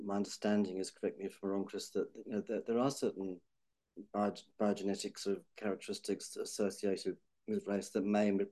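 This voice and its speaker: A man's voice: deep voice, dark tone. A man with a thoughtful, hedging way of talking, full of restarts, discussing health data policy.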